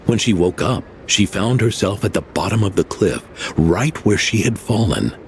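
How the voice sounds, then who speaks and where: A man's voice: fairly low pitch, expressive, normal speed, a little hiss or hum. An actor playing a proud, elderly male innkeeper who tells old family legends and scolds skeptics. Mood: storytelling. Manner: grave, lecturing, theatrical. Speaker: guest house keeper. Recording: game audio with faint music.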